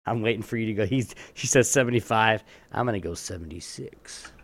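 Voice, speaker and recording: A man hosting a trivia game in a casual chat, often halting and restarting his phrases. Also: lowish voice, no room sound. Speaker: podcast host running a trivia game.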